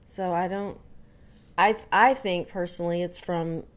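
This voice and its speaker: A young woman from Dallas, Texas chats to camera in a relaxed vlog, with no regional twang in her speech. Her voice sits a bit low, and she rambles along with frequent ums, uhs and self-corrections. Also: a little slow. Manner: chatty, many fillers and restarts. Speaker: vlogger. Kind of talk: casual storytelling to camera.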